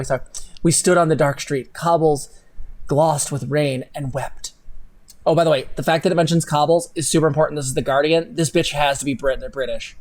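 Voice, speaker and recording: A man doing a mock-dramatic reading on a live stream, theatrical and sarcastic, breaking off for casual, sweary asides. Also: medium pitch. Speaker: co-host reading text aloud on a live stream. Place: indoor room at a computer, live stream.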